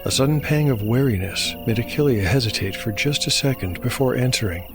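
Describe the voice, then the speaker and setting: A man narrating a fantasy tabletop game as storyteller and game master, in a measured, scripted delivery. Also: mid-range voice. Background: music.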